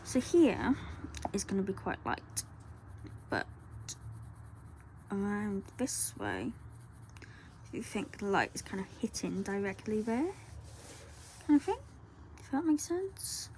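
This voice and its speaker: A woman, talking softly through a colour-along tutorial and often dropping to a whisper. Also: medium pitch, big pitch swings, slow pace, pauses often, a little hiss or hum, rough recording. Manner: soft, rambling, often drops to a whisper. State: croaky voice.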